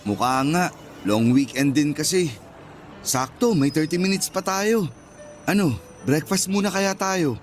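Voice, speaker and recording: A male actor plays an easygoing, joking young man in a Filipino radio drama. His voice sits a little high and stays casual and friendly. Faint music runs behind him. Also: unhurried, pauses often, natural voice. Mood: casual, teasing.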